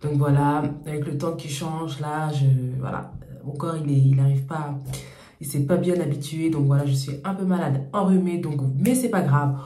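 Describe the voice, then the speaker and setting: Hoarse low-voiced woman with a cold. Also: steady tone, normal speed, warm tone, roomy sound. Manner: repetitive, chatty thanks to viewers. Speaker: YouTube channel host. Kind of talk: casual talk to camera.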